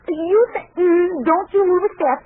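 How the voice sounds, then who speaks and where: High-voiced, plucky young woman. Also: steady tone, moderate pace, no room sound. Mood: alarmed, threatening. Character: plucky, sarcastic, excitable young woman innkeeper. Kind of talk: 1940s radio comedy acting. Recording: old 1940s radio recording, phone-like.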